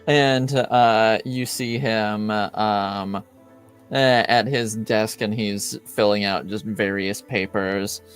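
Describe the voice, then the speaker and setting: A man narrating a tabletop game as game master in a casual, improvised, halting way. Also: on the low side, steady tone, clean tone, soft background music.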